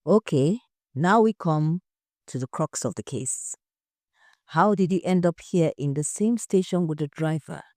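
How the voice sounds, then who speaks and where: A woman narrating a scripted story in a measured, dramatic way. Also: low voice, darkish tone, no room sound, studio quality. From Nigeria.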